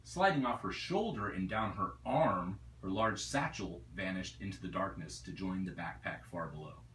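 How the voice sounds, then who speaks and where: Animated male storyteller in a roomy space. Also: sits low, normal speed. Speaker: author reading his novel. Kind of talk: expressive storybook narration. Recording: roomy echo, distant mic, faint noise.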